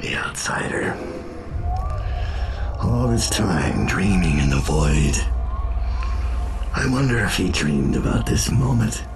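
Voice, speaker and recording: A very low, breathy old killer, worn down and haunted. He speaks slowly and broodingly, in the manner of a dark video game drama, with music clearly playing underneath. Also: very animated, full character voice. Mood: grim, brooding. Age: older. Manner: slow, brooding delivery. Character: weary, haunted old male assassin trapped in the Void.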